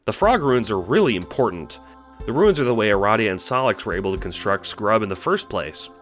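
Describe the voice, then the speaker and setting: Meek young troll guy male actor, low voice, nervous halting stammer, earnest audio-drama storytelling over music. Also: very animated, fairly bright tone. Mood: earnest, intrigued. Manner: hesitant stammer, halting and apologetic. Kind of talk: audio drama monologue, recounting adventures as a log.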